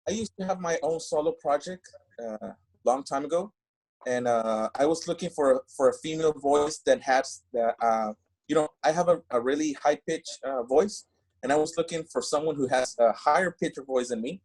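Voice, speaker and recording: A man, a band singer guesting on a podcast, talking casually and haltingly as he searches for English words. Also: on the high side, darkish tone, low-quality recording. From Mexico. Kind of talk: casual interview chat.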